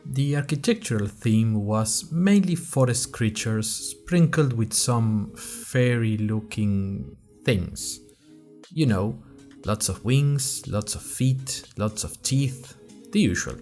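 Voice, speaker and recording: A man's voice: medium pitch, expressive, slow, a little mellow, soft background music. A man playing a wry college freshman who narrates his audio diary in a dry, conversational podcast style with hesitant asides. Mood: wry, descriptive. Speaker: podcast host character.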